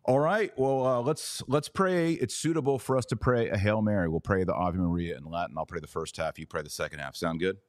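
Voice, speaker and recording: A man with a low voice hosts a podcast in a casual, conversational style. He sounds informal and enthusiastic. The sound is dry, with very little room on it. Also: a little fast, hardly any pauses, no echo. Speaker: podcast host. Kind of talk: casual chat.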